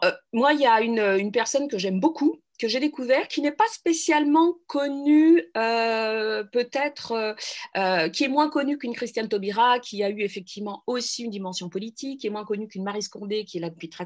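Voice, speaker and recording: A middle-aged woman from France, a guest in a radio interview, speaking earnestly with frequent restarts and hesitations. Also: on the high side.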